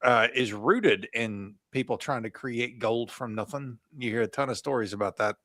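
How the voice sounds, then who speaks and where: A man co-hosting a podcast who reads through his research notes and keeps dropping in offhand jokes. His voice sits at an ordinary pitch, and it is recorded close with a dry, roomless sound. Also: normal speed, sharp tone, no echo. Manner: jokey, reads notes aloud with asides. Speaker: podcast co-host. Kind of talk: casual chat reading from notes.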